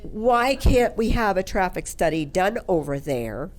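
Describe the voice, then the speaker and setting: A woman's voice: mid-range voice, sing-song, unhurried, darkish tone. A woman questioning officials from the audience as a local resident, in a pointed, insistent manner. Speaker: local resident at public meeting.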